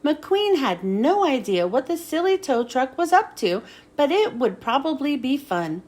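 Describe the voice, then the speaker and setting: A woman reads a children's story aloud. Her voice is high and swings up and down a lot, with dramatic emphasis on the storytelling. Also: very animated, measured pace, darkish tone. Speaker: children's book reader.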